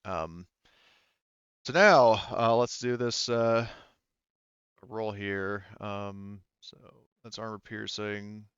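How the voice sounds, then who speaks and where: A man running a tabletop roleplaying game as game master, explaining things in a halting way and often correcting himself. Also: fairly low pitch, fairly bright tone, clear, resonant tone, no room sound, dull audio.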